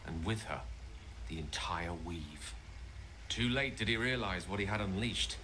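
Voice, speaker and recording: A man's voice: lowish voice, sharp tone, slight background noise. An actor playing a learned, wistful male wizard in a fantasy game, speaking in an eloquent, theatrical storytelling manner. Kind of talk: fantasy video game acting.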